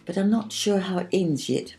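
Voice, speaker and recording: A woman's voice: mid-range voice, creaky, faint music behind, pitched above the performer's own voice, close to an everyday voice. A woman voicing an eager, hesitant little girl who stammers as she tells fairy tales in a children's storybook. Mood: eager, unsure. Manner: halting, stammering, excitable.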